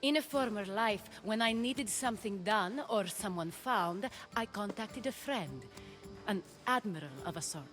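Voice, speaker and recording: A shrewd, discreet hostess of ancient Greece in a video game. She is measured and polished, at a medium pitch, over faint music. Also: animated, a bit bright. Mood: discreet, knowing. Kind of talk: video game dialogue acting.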